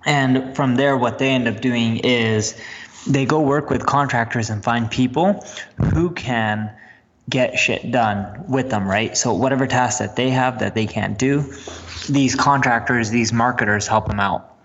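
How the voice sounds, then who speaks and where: A man's voice: mid-range voice, unhurried. A man co-hosting a business podcast, giving direct, matter-of-fact advice drawn from his own practice. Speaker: podcast co-host. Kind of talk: casual business podcast chat. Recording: dull, lo-fi recording.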